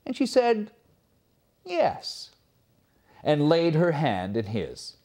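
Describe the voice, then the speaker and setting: Expressive male storyteller, very animated pitch. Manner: expressive, theatrical, measured phrasing. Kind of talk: dramatic fairy tale reading.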